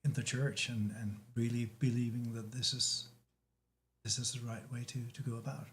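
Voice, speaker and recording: A man from Denmark, speaking English as a second language, talks through his thoughts in slow pieces, starting over often as he searches for the right word. His pitch sits in an ordinary middle range. A faint ambient hum runs under the recording. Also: little pitch movement, a bit bright. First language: Danish. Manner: halting, frequent restarts, searching for words. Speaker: guest. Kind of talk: casual chat.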